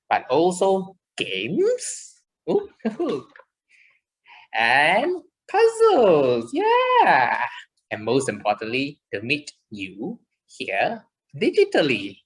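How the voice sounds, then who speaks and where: Children's puppet show: woman as caring, chirpy child puppet, very animated pitch, unhurried, exclamatory delivery. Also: full character voice. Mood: cheerful, thankful. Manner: slow, exclamatory, encouraging, simple explanations.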